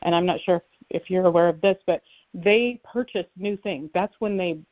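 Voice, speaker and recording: A woman with a fairly low voice speaks as a caller, explaining things casually and earnestly, often qualifying what she says. The phone conference audio is dull and dry. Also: normal speed, dry recording. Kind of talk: casual explanatory call-in talk. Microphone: telephone conference line.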